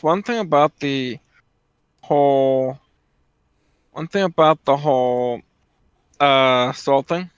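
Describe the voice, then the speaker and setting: A man co-commentating in casual discussion, halting yet insistent, on a dull, low-fidelity mic. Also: smooth, no echo.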